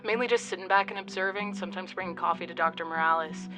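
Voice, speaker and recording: A woman's voice: on the low side, fast, music in the background, close to an everyday voice. Wry, affectionate young intern played by a woman. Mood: mildly resigned. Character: affectionate, wryly joking young intern on the phone to a partner. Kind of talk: naturalistic radio drama acting.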